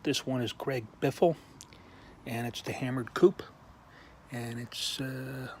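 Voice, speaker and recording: A man slowly showing off his toy collection in a casual video, pausing often with ums and clearing his throat while losing his voice. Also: medium pitch, steady tone, a little mellow, a little hiss or hum. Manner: slow, halting, many ums and uhs, frequent throat clearing. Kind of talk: casual show-and-tell.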